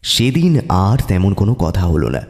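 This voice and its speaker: A man playing a detective's curious, eager companion who also narrates the audio mystery, with a husky voice. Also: sits low, very animated, normal speed, a little mellow, full character voice. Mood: storytelling. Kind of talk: audio story narration and dramatic dialogue. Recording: music behind the voice.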